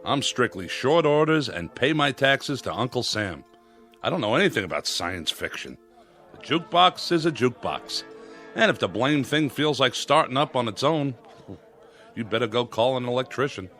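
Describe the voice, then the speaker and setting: Radio drama: wry, folksy diner cook, middle pitch, animated. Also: male voice, normal speed. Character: plain-talking diner short-order cook. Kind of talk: dramatic radio play acting. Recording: radio play with music underneath.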